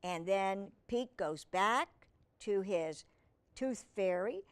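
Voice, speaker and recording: Slow, animated woman storyteller for children. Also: mid-range voice, clear, resonant tone. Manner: expressive, asks the listener questions, simple child-directed wording. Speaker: children's storyteller and humane educator. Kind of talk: reading a picture book aloud to young children, with asides to the listeners.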